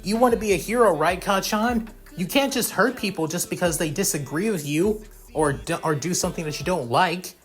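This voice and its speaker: A gentle young boy in a told story, played by the narrator. The pitch is lifted above plain talk, and the delivery is earnest and pleading, with music underneath. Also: fairly quick, close to an everyday voice.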